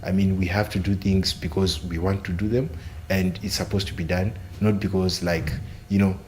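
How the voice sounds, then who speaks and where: Low-voiced man on a panel. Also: lively intonation, a little mellow, slight background noise, low-quality recording. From Zimbabwe. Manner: measured, searching for words with many fillers. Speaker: panelist, software engineering student. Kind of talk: panel discussion.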